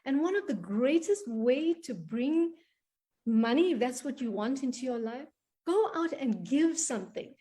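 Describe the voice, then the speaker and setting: A South African woman, a guest coach on a podcast, talking in an animated, emphatic, motivational way. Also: sits high, unhurried. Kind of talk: podcast interview.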